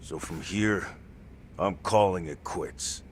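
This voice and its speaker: A man's voice: mid-range voice, animated, measured pace, sharp tone, slight background noise. A man playing a hulking, blunt ex-gangster enforcer in a dubbed video game cutscene. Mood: weary, regretful. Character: hulking male ex-yakuza enforcer, blunt, world-weary father.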